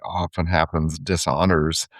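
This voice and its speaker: Very deep-voiced wry male co-host. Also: flat delivery, no echo. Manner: wry, joking, halting. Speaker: podcast co-host. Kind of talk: casual podcast chat.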